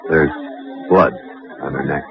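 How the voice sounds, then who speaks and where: An actor playing a plain-spoken guard in an old radio horror drama. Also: male voice, a bass voice, fairly even intonation, measured pace, muffled recording, crowd noise. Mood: grave.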